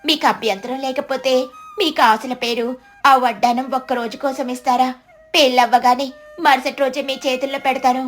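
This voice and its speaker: Woman playing a vain, high-voiced young village wife. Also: fairly even intonation, fairly quick, a bit bright, soft background music. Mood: pleading, grateful. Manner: eager, vain, dramatic.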